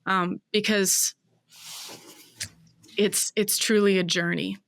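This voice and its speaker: Woman, 20s, interview guest; smooth, close dry sound, reflective and searching delivery. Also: mid-range voice, fairly even intonation, slow pace, a bit bright, dry recording. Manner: thoughtful, searching, with many fillers and restarts.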